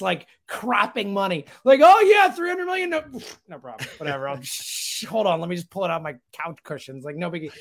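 A man's voice: extremely high pitch, fairly bright tone. A man talking sports casually as a radio guest, blunt and opinionated, with emphatic, slangy phrasing. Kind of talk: animated sports talk, casual banter.

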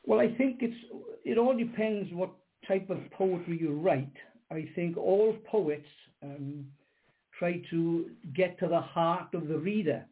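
Older male guest, husky, hesitant and repetitive, heard over a tinny phone line. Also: high-pitched, a little slow. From Wales. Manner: hesitant, repeats words, many ums. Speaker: guest poet. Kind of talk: radio interview. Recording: narrow phone-line sound.

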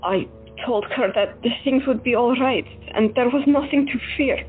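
A woman playing a soft-spoken, caring doctor. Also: a little high, moderate pace, natural voice. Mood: consoling. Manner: soft, earnest, reassuring. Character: compassionate woman doctor comforting the dying. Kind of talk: amateur audio drama acting. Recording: tinny phone-like audio, faint music.

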